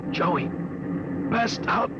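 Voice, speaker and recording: An actor playing a desperate, parched captive pleading weakly in a 1950s radio drama. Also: male voice, extremely slow. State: weak, pleading, thirsty. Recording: dull, very noisy 1950 radio recording.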